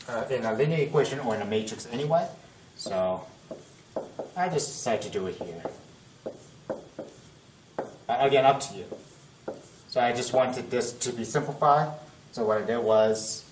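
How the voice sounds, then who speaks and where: A man's voice: lowish voice, darkish tone. A young man working through a math problem at a whiteboard in a casual lesson, thinking aloud and correcting himself, his voice strained as if he is losing it. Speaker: math tutor. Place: classroom at a whiteboard. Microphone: camera-mounted mic at a distance. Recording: echoey room, distant camera mic, faint noise.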